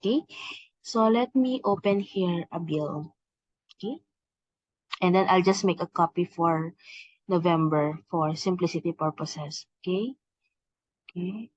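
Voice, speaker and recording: Female software trainer, lowish voice, hesitant step-by-step walkthrough, dry but slightly dull recording. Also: a little slow, long pauses, no room sound. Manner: instructional, with frequent hesitations and repeated "okay" and "please take note". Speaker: software trainer presenting a tutorial. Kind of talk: step-by-step software demonstration, semi-scripted explanation.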